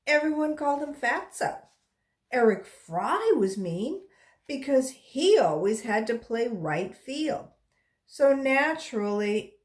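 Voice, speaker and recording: Female volunteer storybook reader, higher-pitched and slow-paced, expressive and deliberate delivery for young listeners. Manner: deliberate, expressive phrasing for children. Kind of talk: read-aloud storybook narration with brief asides.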